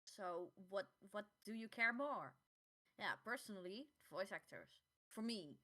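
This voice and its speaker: A woman co-hosting a podcast in casual chat, halting and repeating words as she finds her phrasing. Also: mid-range voice, animated, bright, forceful tone. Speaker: podcast co-host.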